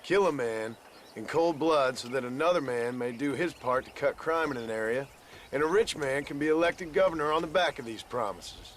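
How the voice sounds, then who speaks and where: Over faint outdoor noise and birdsong, a man plays a gruff American ex-outlaw in a western game. He is weary and reflective, talking plainly with halting turns. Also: mid-range voice, lightly acted. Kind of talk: video game drama acting.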